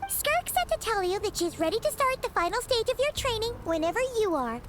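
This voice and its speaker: A woman's voice: extremely high pitch, sing-song, a little fast, a bit bright, slight background noise, exaggerated voice. A woman voicing a tiny, cheerful floating female companion who talks in a chirpy, bouncy way. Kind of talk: game voice acting.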